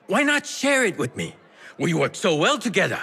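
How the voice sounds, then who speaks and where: An actor playing a wily, affectionate old tea merchant in a video game, with a theatrical, teasing, exclamatory manner. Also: male voice, high-pitched, big pitch swings, normal speed, sharp tone, husky, heavily acted. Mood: shrewd, familiar. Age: older. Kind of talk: video game character acting.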